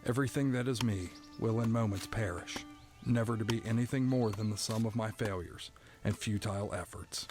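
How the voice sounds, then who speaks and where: A man playing a stoic, resigned prisoner who narrates an audio drama in a somber, deliberate, poetic storytelling manner. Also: on the low side, over music, close to an everyday voice. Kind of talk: scripted first-person dramatic narration.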